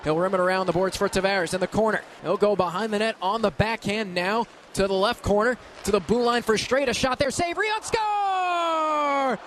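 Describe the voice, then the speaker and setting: Man, very high voice, live hockey radio commentary, urgent clipped delivery, loud arena noise. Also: moderate pace. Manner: excitable, urgent, clipped running description of action. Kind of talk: live sports play-by-play commentary. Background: loud ambience of a hockey arena.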